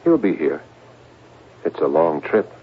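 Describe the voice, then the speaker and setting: Desperate, paranoid man, swooping pitch. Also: sing-song, husky, full character voice. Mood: hushed, urgent. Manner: halting, agitated, pleading, repeats himself. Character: desperate paranoid male psychiatric patient, convinced aliens threaten mankind. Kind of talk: radio drama acting. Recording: narrow tinny old radio broadcast, hiss.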